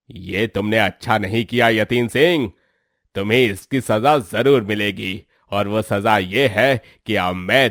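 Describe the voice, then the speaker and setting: A man's voice: lowish voice, fairly bright tone, no room sound, studio quality. A man voicing a stern, indignant village headman with an authoritative manner in a dramatized moral story.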